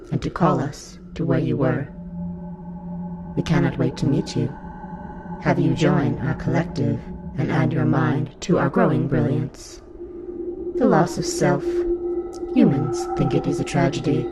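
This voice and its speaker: An actor voicing a serene, menacing alien fungal hive mind in an audio drama, speaking in a measured, grandiose, beckoning way. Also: mid-range voice, natural voice.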